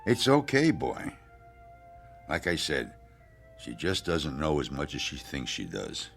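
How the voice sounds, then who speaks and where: A gruff schemer with an extremely deep, husky voice. He mutters slowly and gravelly to a companion, in radio drama style, over faint music. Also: huge pitch swings, a bit bright, full character voice. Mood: muttering, intent.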